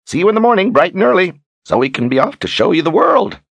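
A man's voice: sits high, very animated, fast talker, gravelly, no room sound, pitched above the performer's own voice, exaggerated voice. A man voicing a boastful, sly old wizard who talks in a folksy, grandiose way, as in an audiobook. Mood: jovial, grandiose. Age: older. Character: theatrical, boastful, sly old wizard posing as fortune-teller. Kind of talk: audiobook character acting.

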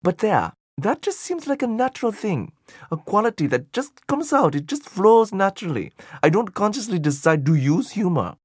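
A man's voice: high-pitched, sing-song, normal speed, slightly rough, no room sound, clean studio sound, exaggerated voice. A man voicing an older male Buddhist teacher in an audiobook dialogue, earnest and questioning, thinking aloud as he searches for meaning. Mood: puzzled. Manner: questioning, searching, thoughtful delivery.

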